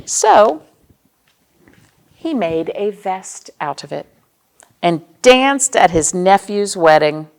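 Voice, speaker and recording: An American woman leads a storytime for small children at an unhurried pace. Her voice has a noticeable creak and sits at a medium pitch. She reads expressively, pausing to explain things simply and put questions to her young listeners. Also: big pitch swings. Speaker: children's librarian, storytime host.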